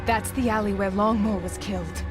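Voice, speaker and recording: An actress playing a tough, brooding investigator, terse and serious, in a video game drama. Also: female voice, medium pitch, fairly even intonation, moderate pace, natural voice. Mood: brooding, puzzled. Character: tough, brooding female investigator, driven and irritated. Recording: loud music over the voice.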